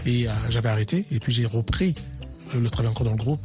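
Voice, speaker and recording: A man from Kinshasa talks about his music career as a radio guest, choosing his words with pauses and restarts. His voice sits at an ordinary male pitch. Music plays clearly beneath him throughout the broadcast. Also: darkish tone. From Kinshasa, Congo. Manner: measured, hesitant with restarts. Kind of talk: radio interview.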